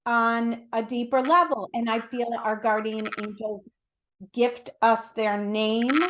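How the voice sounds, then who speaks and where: A woman's voice: fairly high pitch, fairly even intonation, slow pace, fairly bright tone, a little hiss or hum, rough recording. A middle-aged woman giving conversational spiritual teaching as an interview guest, earnest and emphatic, explaining with everyday examples.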